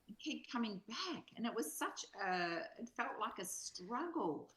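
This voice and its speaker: A woman speaking in a measured, reflective way, as in a spiritual reading and study conversation. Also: fairly high pitch, big pitch swings, a bit bright. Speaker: co-presenter reading and discussing a spiritual text. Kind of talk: slow read-aloud and reflective study conversation. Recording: roomy, echoing sound.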